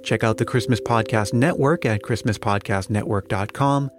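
Music bed, man, mid-range pitch, podcast host, scripted delivery, polished, courteous. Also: moderate pace. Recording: music under the voice.

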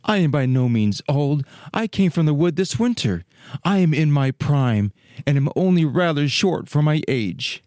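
A man's voice: on the high side, expressive, moderate pace, a little mellow, dry recording, studio quality, lightly acted. A man voicing a naive, vain and wistful young fir tree in a children's storybook, musing aloud. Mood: defensive. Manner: musing aloud in rhetorical questions. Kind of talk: dramatized children's storybook reading.

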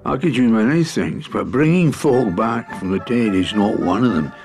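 A man voicing a blunt, calm, rueful storybook character with a sober, matter-of-fact manner. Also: lowish voice, normal speed, over music.

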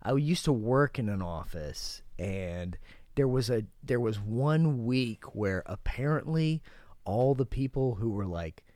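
A forty-year-old man chatting casually on a podcast, laid-back and laughing often as he tells drawn-out stories. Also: medium pitch, lively intonation, warm tone, dry recording. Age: 40s. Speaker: podcast guest.

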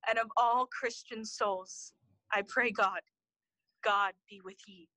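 A woman's voice: medium pitch, fairly even intonation, slow pace, pushed and bright, no room sound, low-quality recording. A woman playing a mad, grieving young noblewoman in a classical audio play. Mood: dazed, sorrowful. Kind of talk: classical stage acting for audio.

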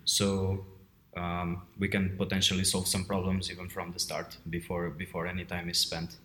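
A man's voice: low-pitched, monotone, moderate pace, sharp tone, slight background noise. A man from Portugal, answering interview questions conversationally as a guest. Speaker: guest, head of quality assurance. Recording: roomy, echoey sound.

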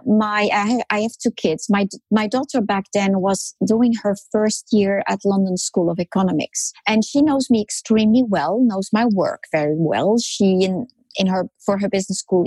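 French-raised woman in her 60s, kinda husky, dry close sound, tells her story with punchy repeats. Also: mid-range voice, darkish tone, dry recording, rough recording. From France. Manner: emphatic, repeats words for rhythm. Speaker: podcast guest. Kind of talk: storytelling interview talk.

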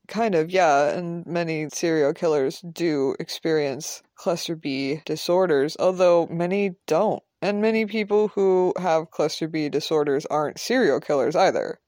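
A woman playing a measured, scholarly male professor in an audio drama, precise and deadpan. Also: low-pitched, no room sound, clean studio sound. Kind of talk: naturalistic audio drama dialogue.

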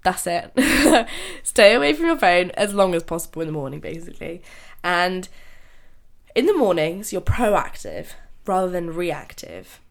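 A woman's voice: lowish voice, big pitch swings, unhurried, gravelly. Nasal-sounding woman with a cold, chatty. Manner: chatty, laughs often, trails off and restarts. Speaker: podcast host. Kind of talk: casual solo podcast talk.

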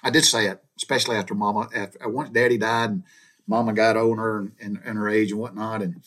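A middle-aged man with a drawl talks through old memories in a loose, rambling monologue. He chuckles often and curses mildly, with a folksy, unhurried storyteller's manner. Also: fairly low pitch, steady tone, fairly bright tone. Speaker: podcast host.

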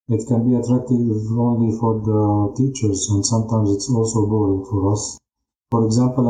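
Male history teacher, Palestinian Arabic accent, deliberate interview delivery, dark muted tone, roomy echo. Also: lowish voice. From Jaffa. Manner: deliberate, phrase by phrase, non-native English phrasing. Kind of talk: interview answers.

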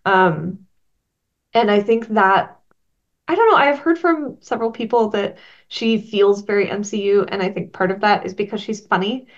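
A woman's voice: medium pitch, moderate pace. Chatty woman writer on dull audio. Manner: earnest, explains at length with frequent um and uh. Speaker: comic writer guest. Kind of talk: thoughtful casual interview talk.

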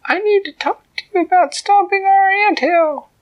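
A man voicing a tiny brave female ant, very high and squeaky, loud and earnest, storybook. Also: normal speed, dull audio. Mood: shouting up. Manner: shouts up high and earnest, pleading her case.

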